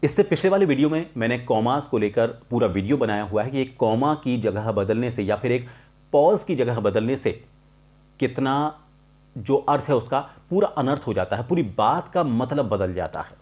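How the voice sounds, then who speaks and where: A middle-aged man explaining a lesson to viewers as a voice coach, in a deliberate, emphatic manner with clear pauses. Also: mid-range voice, animated, darkish tone. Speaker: voice-over artist and voice coach hosting a tutorial.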